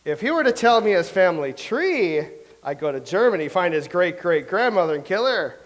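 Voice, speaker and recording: A man's voice: sits high, sing-song, normal speed. A man acting in a staged comedy reading as a grumpy, envious, combative playwright from centuries past.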